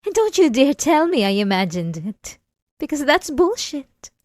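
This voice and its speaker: A woman playing an obsessive, possessive, delusional wife in an intimate ASMR roleplay, coaxing and wounded, with sighing pauses. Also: high voice, very animated, dry recording, studio quality. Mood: defensive, jealous.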